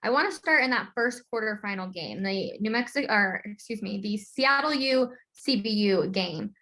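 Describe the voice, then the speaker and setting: A woman with a medium-pitched voice hosts a sports discussion. She speaks casually and informally, like a regular conversation, while guiding the recap from one point to the next. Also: lively intonation, normal speed, sharp tone, low-quality recording. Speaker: sports podcast host steering the discussion.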